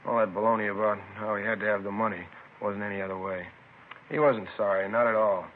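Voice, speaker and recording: An actor playing a chatty, put-out store manager, a robbery witness who talks in colloquial fragments, in naturalistic 1950s radio drama style. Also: male voice, fairly low pitch, steady tone, fast talker, natural voice. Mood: aggrieved. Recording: lo-fi noisy 1950s radio recording.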